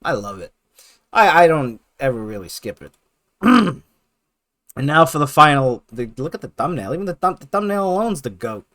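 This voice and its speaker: A man giving casual, excited video game countdown commentary in a gushing, slangy, emphatic way with heavy swearing. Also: on the high side, moderate pace, no echo. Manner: gushing, heavily profane.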